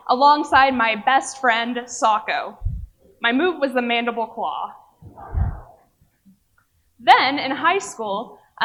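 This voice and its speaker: A young woman from Oklahoma speaks from a stage in a fairly high voice. She tells her story in a rehearsed way and pokes fun at herself as she goes. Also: a bit bright, poor audio. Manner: wry, self-mocking humor. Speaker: speaker at a live event. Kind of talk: rehearsed personal talk. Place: stage before an audience.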